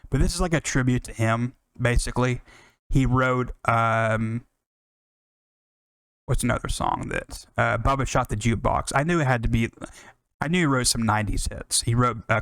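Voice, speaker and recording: A man's voice: mid-range voice, gravelly, fairly bright tone, no echo. An American man hosting a music podcast, talking casually and enthusiastically in a rambling, unscripted way with some swearing.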